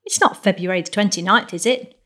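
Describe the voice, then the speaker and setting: A gentle young man in a romance audiobook, read by a woman, earnest and apologetic. He is a reluctant boyfriend, pitched like the narration around him. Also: brisk. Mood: quiet, awkward.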